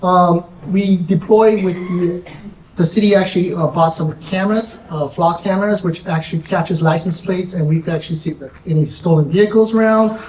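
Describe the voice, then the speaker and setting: A woman, a sheriff's deputy, giving a community safety presentation in a soft-spoken, nervous, chatty way. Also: fairly low pitch, a little fast. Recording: echoey room, distant mic, faint noise.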